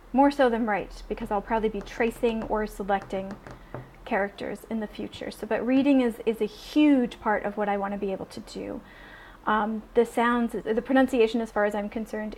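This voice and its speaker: A young adult woman chatting casually in a vlog, animated and full of ums and restarts. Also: on the high side. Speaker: vlogger.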